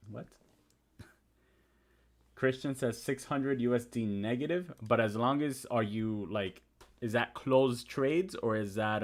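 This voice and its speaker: A young man whose first language is Spanish, hosting a casual live stream, reading viewer comments and talking through trading charts. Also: mid-range voice. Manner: chatty, reads and answers viewer comments.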